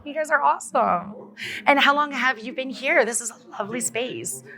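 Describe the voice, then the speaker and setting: A woman's voice: high-pitched, animated, normal speed, pushed and bright. A woman hosting a show and interviewing in an effusive, informal, upbeat way. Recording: music under the voice.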